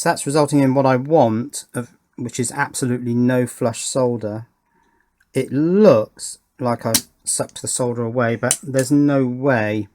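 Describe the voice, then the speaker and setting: A middle-aged man giving an unscripted hands-on demonstration, thinking aloud in short, halting phrases as he works. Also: mid-range voice, darkish tone, slight background noise. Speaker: electronics hobbyist and presenter. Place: indoor electronics workbench.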